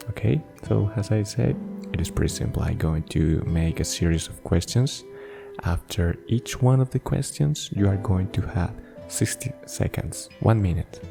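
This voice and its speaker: A man speaking in a soft-spoken ASMR style, gently and hesitantly guiding relaxation as a personal therapist. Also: low voice, vocal fry. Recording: soft guitar music behind the voice.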